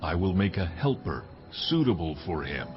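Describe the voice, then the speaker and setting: A deep-voiced, authoritative God in a scripture audio drama. He declares each line solemnly and with measure. The sound is slightly dull, with music behind. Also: very animated, normal speed. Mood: solemn, commanding. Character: God, commanding male creator, solemn and authoritative. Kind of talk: dramatized audio Bible acting.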